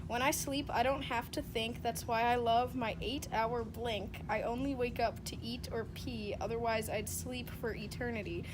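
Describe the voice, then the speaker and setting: A woman's voice: on the high side, steady tone, moderate pace, hardly any pauses. A young woman casually critiquing and reading poems among friends, blunt, sweary and sarcastic. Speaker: amateur poetry critic. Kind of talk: casual mocking commentary and dramatic poem reading among friends. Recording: noisy informal group recording.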